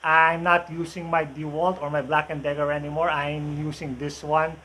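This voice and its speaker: A man's voice: a little high, flat delivery, moderate pace, bright, forceful tone, a little hiss or hum. A man in his thirties with a Filipino accent, hosting a casual tool review vlog in his home workshop, chatty with many filler uhs. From Philippines. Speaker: woodworking channel host. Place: home woodworking workshop, indoors. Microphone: camera on tripod, medium close.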